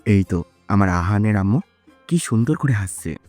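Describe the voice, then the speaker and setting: A woman acts out a young man in a romance audio story. The voice sits extremely low and close, teasing yet calm and reassuring. Faint music plays underneath. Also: moderate pace, no room sound. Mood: teasing, affectionate.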